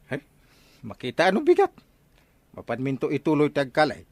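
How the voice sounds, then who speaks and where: A man with an Ilocano Filipino accent playing an excitable, stubborn treasure-hunting village captain in a radio comedy drama. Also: on the high side, fairly quick, dry recording. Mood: confident.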